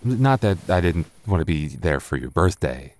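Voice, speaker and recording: A man's voice: sits low, big pitch swings, normal speed, a little mellow. A young man acting a tipsy, flustered roommate hiding a crush in an intimate boyfriend roleplay, stammering and defensive in a conversational way.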